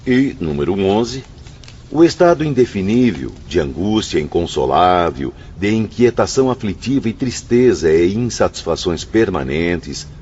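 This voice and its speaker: A really deep grave brazilian man in a radio play, solemn, big swings in pitch. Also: sing-song, normal speed, darkish tone, husky, heavily acted. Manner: grave, emphatic, declamatory. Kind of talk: radio drama acting, solemn declaimed recitation. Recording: dull lo-fi audio, faint background noise.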